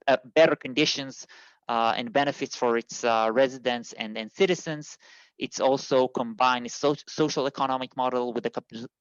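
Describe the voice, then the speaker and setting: A man's voice: medium pitch, smooth, dry recording, muffled recording. A man from Israel, a guest on an interview show, answering at length with many fillers and restarts. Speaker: guest, immigration consultant.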